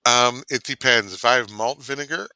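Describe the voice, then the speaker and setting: A man's voice: medium pitch, moderate pace, pushed and bright, clear, resonant tone, no echo, low-quality recording. A man from Canada co-hosting a casual online cooking chat, rambling and savoring his words. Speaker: co-host.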